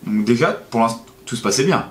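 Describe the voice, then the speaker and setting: A man's voice: mid-range voice, animated, fairly quick, a bit bright. A young man from France, a native French speaker, casually recounting a day step by step as a vlog guest. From Nantes, France. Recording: echoey, roomy sound.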